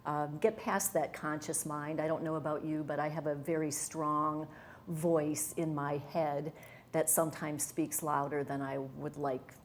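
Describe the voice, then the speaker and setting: Brief: middle-aged woman, low voice, eager and sincere, faint background hiss. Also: level tone, unhurried. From Wisconsin, USA. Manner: earnest, enthusiastic, with fillers and restarts. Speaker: interview guest, hypnotherapist and wellness coach. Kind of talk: conversational interview.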